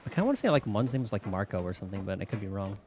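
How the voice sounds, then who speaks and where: A man's voice: fairly low pitch, sing-song, rapid-fire, darkish tone, a little hiss or hum. A man giving casual, rambling playthrough commentary as a video game streamer, thinking aloud. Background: faint game music.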